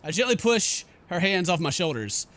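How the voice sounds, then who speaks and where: High-pitched, animated male gaming streamer. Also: normal speed. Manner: theatrical, excitable, jokey. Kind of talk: horror game playthrough, dramatic read-aloud and casual commentary. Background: game music.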